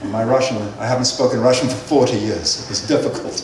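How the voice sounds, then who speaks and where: An older man, a guest speaker, gives measured, earnest answers to audience questions, with frequent fillers. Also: mid-range voice, steady tone. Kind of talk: lecture-hall Q&A answers. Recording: echoey hall, distant mic, faint noise.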